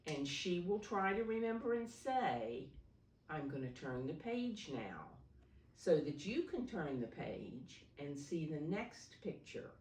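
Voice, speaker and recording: A woman speaking as a preschool teacher at storytime, explaining things simply and deliberately to young children. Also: sits low, very animated, darkish tone, a little hiss or hum. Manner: simple, deliberate explaining, refers to herself in third person. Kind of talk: read-aloud storytime for preschoolers. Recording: roomy echo, distant microphone.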